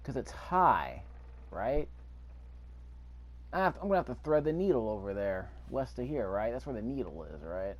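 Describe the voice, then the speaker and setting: A man's voice: mid-range voice, sing-song, moderate pace, long pauses, dull audio. A man giving casual let's-play commentary, rambling and thinking aloud as he goes. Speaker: gameplay video host. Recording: clear background noise.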